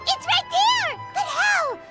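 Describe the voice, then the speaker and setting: A woman voicing a small, excitable, childlike female companion creature in a video game, squeaky and full of wonder. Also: heavily acted. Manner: exclamatory, wide-eyed, gasping. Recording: loud music behind. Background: game music.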